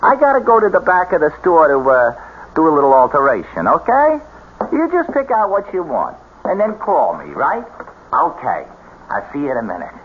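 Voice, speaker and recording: A man's voice: fairly high pitch, wildly swooping pitch, moderate pace. An actor in a radio drama playing a nervous, folksy male shopkeeper who hesitates and repeats himself. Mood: nervously helpful. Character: nervous, chatty male shopkeeper, furtive and excitable. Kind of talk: old-time radio drama acting. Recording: dull noisy old radio recording.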